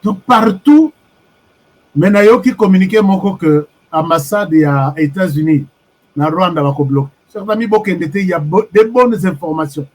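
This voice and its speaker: A Congolese man talks straight to his online viewers, his voice slightly husky and pitched a little high for a man. He keeps his commentary animated, drops in Lingala phrases and reacts to comments as they come in. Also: normal speed. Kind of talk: live-streamed political commentary.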